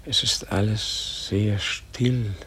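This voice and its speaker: Husky, very slow dying old sage. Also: male voice, on the low side, fairly even intonation, bright, forceful tone, full character voice. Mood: gentle, wondering. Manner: slow, halting, solemn. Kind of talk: radio play acting.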